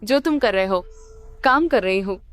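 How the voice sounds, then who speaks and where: A woman playing a sharp, teasing young reporter, guarded yet fond, speaking casually and wryly in game dialogue. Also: mid-range voice, animated, normal speed, no room sound, soft background music, natural voice. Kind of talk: Hindi-dubbed video game dialogue.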